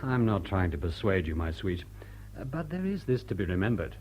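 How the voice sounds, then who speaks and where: An actor playing a suave, cynical gentleman thief posing as a butler, speaking in an urbane, drily ironic way. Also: sits low, very animated, fairly quick, slight background noise. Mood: drily persuasive. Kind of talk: stage drawing-room radio drama.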